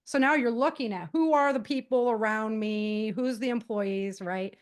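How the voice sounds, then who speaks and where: Higher-voiced woman, casual interview guest. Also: moderate pace. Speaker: guest author giving safety advice. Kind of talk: casual, conversational podcast interview.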